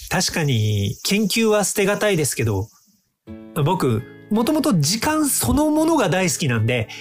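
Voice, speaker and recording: A man's voice: high voice, big pitch swings, moderate pace, faint music behind. A man playing a polite, eager, chatty time-travel researcher from the future in a radio drama.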